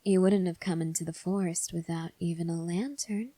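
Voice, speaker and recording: A woman playing a calm, probing female vampire in an ASMR roleplay, speaking softly and breathily with deliberate pauses. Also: lowish voice, no echo, lightly acted. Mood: knowing, probing. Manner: soft, breathy, measured delivery with deliberate pauses. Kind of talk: ASMR roleplay addressed to the listener.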